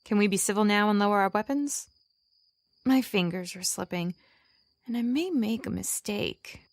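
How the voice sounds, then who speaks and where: A woman's voice: medium pitch, normal speed, dry recording, close to an everyday voice. An actress playing a sarcastic, distrustful young woman archer in an audio drama, with an irritated, sardonic delivery. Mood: sardonic threat.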